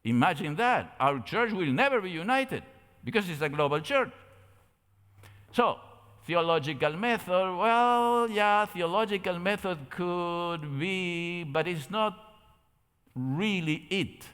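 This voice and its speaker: An older man with an Argentine Spanish accent lectures on a fairly high pitch, his voice ringing with long hall reverb. He explains things like a teacher, in a conversational way, posing rhetorical questions to his listeners. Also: expressive, slow pace, a bit bright, smooth. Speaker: theology lecturer at a church camp meeting. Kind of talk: lecture with slides.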